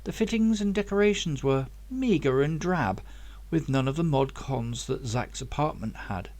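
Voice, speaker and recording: Male author-narrator, lively swinging intonation, slightly high voice, scripted story reading. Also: measured pace. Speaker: author reading own novel.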